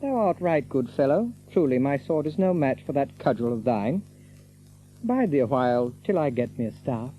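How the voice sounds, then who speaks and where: An actor playing an unruffled, polite gentleman in an archaic audio drama, speaking with gentle mockery. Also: medium pitch, big pitch swings, normal speed, lo-fi sound, soft background music, lightly acted. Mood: mock regret. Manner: polite, leisurely, gently mocking. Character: mild, courteous, unruffled gentleman stranger with hidden strength.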